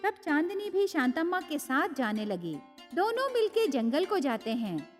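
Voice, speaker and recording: A woman's voice: high voice, very animated, unhurried. A woman narrating a scripted moral story for children. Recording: long reverb with faint music.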